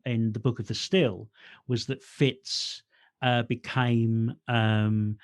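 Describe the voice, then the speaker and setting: A man's voice: medium pitch, level tone, slow pace. A middle-aged man hosting a casual interview as a fellow writer, informal and blunt, with a self-deprecating streak. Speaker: podcast host, writer.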